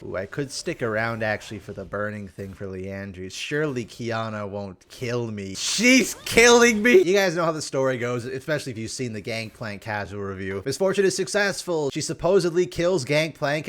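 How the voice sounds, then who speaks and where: A man hosting a video game review, telling stories in a casual, sarcastic, animated way with joking asides. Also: mid-range voice. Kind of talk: casual gameplay commentary and storytelling.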